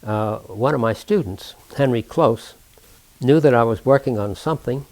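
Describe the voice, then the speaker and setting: An older man recounting technical work for an oral history, precise and formal, speaking deliberately with halting pauses mid-sentence. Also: mid-range voice, darkish tone, poor audio. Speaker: inventor recounting his own work.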